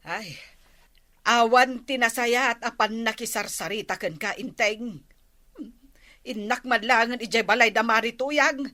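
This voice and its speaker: Bright, chatty village housewife played by a woman. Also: fairly high pitch, unhurried. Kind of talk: comedy radio drama acting in Ilocano.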